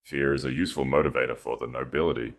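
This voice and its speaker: A man voicing a cold, shrewd queen in an audiobook, measured, composed and quietly commanding. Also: rumbling low, steady tone. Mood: weary, calculating. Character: cold, shrewd, imperious queen, calm and calculating. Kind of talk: audiobook character acting.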